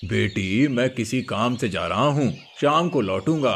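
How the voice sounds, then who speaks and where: A man's voice: mid-range voice, lively intonation, a little slow, fairly bright tone, a little hiss or hum. A man voicing a patient, fatherly old cowherd grandfather in a cartoon story. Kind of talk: Hindi cartoon moral story dialogue. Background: music.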